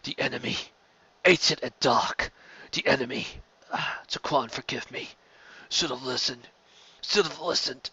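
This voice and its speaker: A male reader acts out a dying alien full of remorse. The words come weakly, in halting fragments that fade away. The sound is close, dry and a bit muffled. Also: medium pitch, bright, forceful tone, husky, no echo, full character voice. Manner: weak, halting, fading whispers.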